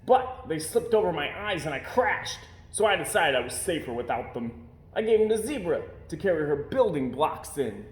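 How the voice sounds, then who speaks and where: Man voicing a breezy, excitable toy rabbit. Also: a little high, moderate pace, pitched above the performer's own voice. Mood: breezy, excited. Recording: roomy, echoey indoor sound.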